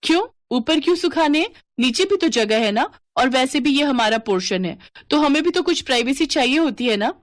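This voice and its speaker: A woman's voice: high voice, lively intonation, moderate pace, few pauses, no room sound, clean studio sound, pitched above the performer's own voice. A woman voicing an irritable, haughty young sister-in-law in a family story, snappy, sarcastic and exasperated. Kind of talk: Hindi storytelling drama.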